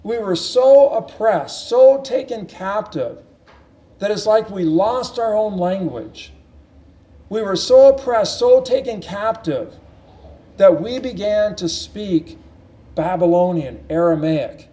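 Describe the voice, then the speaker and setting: A man with a high, mellow voice preaches in an earnest teaching tone. He presses his points through repetition and often restarts his phrases. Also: lively intonation, takes it slowly. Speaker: pastor. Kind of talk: sermon Bible teaching.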